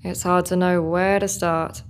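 An actress playing a guarded, steady rebel guard with a measured, terse delivery in a sci-fi audio drama. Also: female voice, fairly low pitch, a little hiss or hum, lightly acted.

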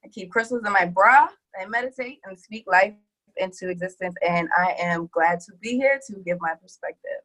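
A woman's voice: medium pitch, normal speed, a bit bright, rough recording. A woman from the South, speaking candidly as a podcast guest, hesitant and full of ums. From Deep South of the US. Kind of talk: casual introduction.

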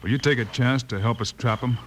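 Man acting cowboy hero, mid-range voice, calm authority, decisive, reassuring, old radio drama, slight noise. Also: medium pitch, a little fast, natural voice. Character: steady, take-charge heroic western lawman. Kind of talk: 1950s old time radio western acting. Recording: faintly noisy old radio recording.

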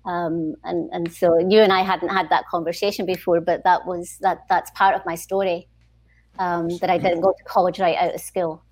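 A middle-aged Scottish woman speaks as a podcast guest, reflecting on her past in a measured, conversational way. She pauses often, starts sentences over, and lands each point with quiet assurance. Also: lowish voice, flat delivery. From Scotland. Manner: thoughtful, frequent "um" pauses and repeated starts. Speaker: podcast guest, HR leadership consultant. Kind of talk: conversational interview.

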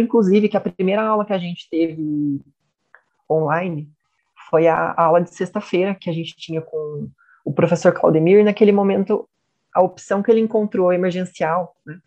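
A woman student recounting her university experience in a measured way, often checking in with tag questions. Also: on the low side, darkish tone, highs cut off. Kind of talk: testimonial interview.

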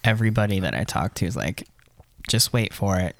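A man's voice: lowish voice, lively intonation, a little fast, a bit bright, dry recording, studio quality. A man co-hosting a casual podcast chat, easygoing, chiming in with short agreements. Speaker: podcast co-host.

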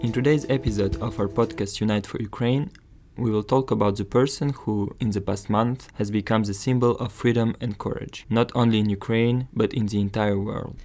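A man's voice: fairly low pitch, steady tone, normal speed, darkish tone. A man co-hosting a podcast, reading scripted narration. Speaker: podcast co-host.

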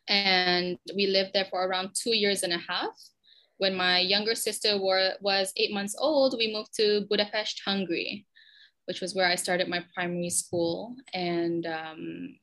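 A young Malaysian woman in her twenties, answering as a podcast guest in a chatty, easygoing way. Her voice is bright and clear, at an ordinary pitch, and she often stops to restart a sentence. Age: 20s. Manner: chatty and lively, with frequent ums and restarts. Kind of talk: conversational interview answers.

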